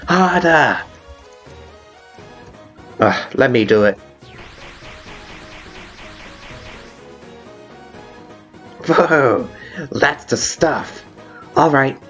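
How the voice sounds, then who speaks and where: A man's voice: medium pitch, fairly bright tone, highs cut off. A man voicing a brash, hot-headed female monster guard captain with blunt, informal delivery in a video game fan dub. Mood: fired up. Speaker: character voice actor in a fan dub. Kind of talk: dramatic video game dialogue. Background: background music.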